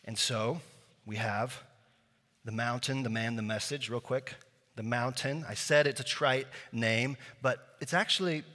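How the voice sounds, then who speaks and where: A man's voice: mid-range voice, sharp tone. A male pastor preaching a sermon, telling a story in a casual way with many fillers and restarts. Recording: long hall reverb.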